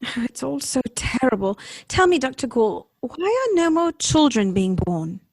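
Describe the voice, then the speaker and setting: A woman's voice: high voice, very animated, measured pace, no echo, exaggerated voice. A woman playing a tender-hearted, distressed lady in a 1930s-style radio drama, earnest and pleading.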